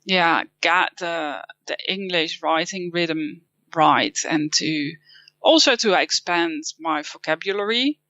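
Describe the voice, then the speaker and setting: A woman's voice: lowish voice, takes it slowly, pushed and bright, lo-fi sound. A woman with a Dutch accent, a non-native English speaker, chatting thoughtfully as an interview guest. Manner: thoughtful, with frequent restarts and light laughs. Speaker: guest, copywriting teacher. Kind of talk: casual interview chat.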